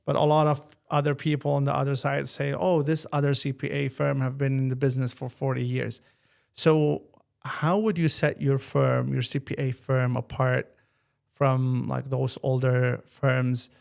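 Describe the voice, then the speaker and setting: A man hosts the interview with a gravelly, flat-toned voice. He asks his questions in a rambling, halting way. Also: mid-range voice. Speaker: interview host.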